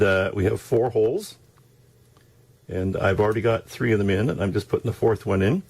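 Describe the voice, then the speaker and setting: DIY demonstrator, male, gravelly and rough-edged, informal, halting step-by-step delivery. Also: lowish voice, darkish tone. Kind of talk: casual hands-on tutorial.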